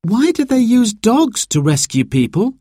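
A man voicing an excited, curious young child in a storybook, with an eager, wide-eyed manner. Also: high voice, a little slow, husky, no room sound, clean studio sound, voice pushed up, exaggerated voice.

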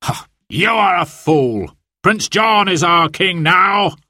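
Man playing a dismissive sheriff, slow, scornful, dry sound. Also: medium pitch, sing-song, fairly bright tone, breathy edge, no room sound, pitched above the performer's own voice, exaggerated voice.

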